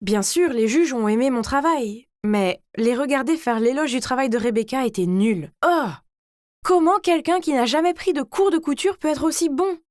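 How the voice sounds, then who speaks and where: A woman voicing a vain, scheming teenage girl who tells her own animated story in a smug, theatrical way. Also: high voice, normal speed, no room sound, studio quality. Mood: jealous. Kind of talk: dramatized first-person story narration.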